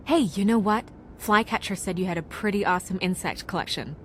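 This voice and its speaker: A woman playing a kindly, composed young woman in a video game, speaking in a gentle, coaxing way. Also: medium pitch, a little fast, a little hiss or hum, close to an everyday voice. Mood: friendly, coaxing.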